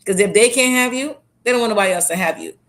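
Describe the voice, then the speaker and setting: A woman's voice: fairly high pitch, fast. Blunt Trinidadian woman author speaking. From Trinidad and Tobago. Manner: blunt, emphatic, repeats phrases for emphasis. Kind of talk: candid interview talk.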